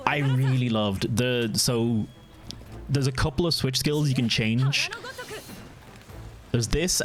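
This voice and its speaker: Man, Meath Irish accent, mid-pitched voice, casual rambling stream chat, answering viewers, game music clearly behind. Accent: Irish, County Meath. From Ireland. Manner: easygoing, rambling, answers chat, frequent restarts. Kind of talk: casual live-stream chat while gaming.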